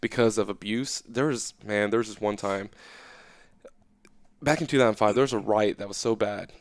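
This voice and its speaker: A young man talking casually as an interview guest, animated and loose, often checking in with "right?". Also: on the low side, moderate pace, no echo.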